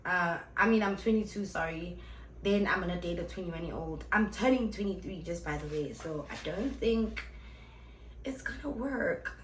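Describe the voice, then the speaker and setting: A woman's voice: lowish voice, normal speed, echoey room, a little hiss or hum, rough recording. A woman in her twenties answering viewer questions in a casual vlog, chatty, blunt and playful, often addressing her viewers as guys. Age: 20s. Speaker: vlogger and club host.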